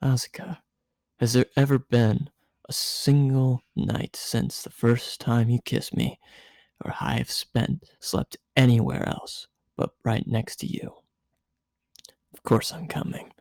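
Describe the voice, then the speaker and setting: A man voicing a gentle, shy, devoted teenage boy pilot in a story reading, soft-spoken and hesitant. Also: medium pitch, flat delivery, slow, gravelly, no echo. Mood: tender.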